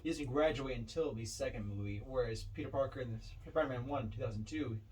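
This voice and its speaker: A man's voice: mid-range voice, fast, darkish tone. A man co-hosting a podcast, talking casually about films. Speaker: podcast co-host. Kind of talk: casual film discussion. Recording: distant mic, faint room noise.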